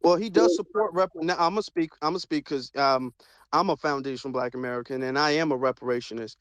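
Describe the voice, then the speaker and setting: A man hosting an online audio discussion, speaking in a measured, hesitant way with many fillers. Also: fairly high pitch, a bit bright, dry recording. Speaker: host of audio chat room.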